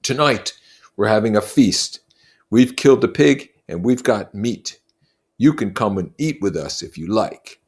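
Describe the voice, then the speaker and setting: A man's voice: on the low side, expressive, unhurried, husky, pitched above the performer's own voice, heavily acted. A man voicing a boastful, taunting boy chief of savage hunters in a storybook, declaiming with swagger. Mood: inviting, haughty.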